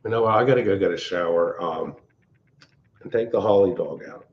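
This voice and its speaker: An older man, hosting a casual livestream from his open-plan home, talking in a loud, blunt, rambling way. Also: low voice, fairly quick, lo-fi sound. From Pennsylvania. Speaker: livestream host. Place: large open-plan house at night.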